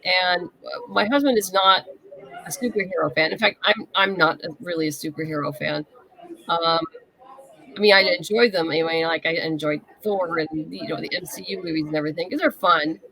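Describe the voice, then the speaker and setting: A woman's voice: fairly low pitch, a little fast, pushed and bright, low-quality recording. A woman speaking as an interview guest in casual, conversational chat.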